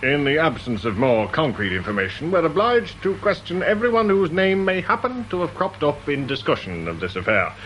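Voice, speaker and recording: An actor playing a stiffly formal British police inspector in a radio drama, measured and officious, with understated sarcasm. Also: male voice, a little high, wildly swooping pitch, a bit bright, a little hiss or hum, poor audio.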